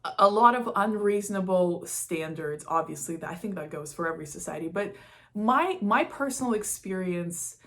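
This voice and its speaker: A woman's voice: lowish voice, animated, moderate pace, fairly bright tone. A woman from Russia talking casually and candidly to her viewers, stressing key words in a confessional vlog style. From Moscow, Russia. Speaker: vlogger. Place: studio, indoors.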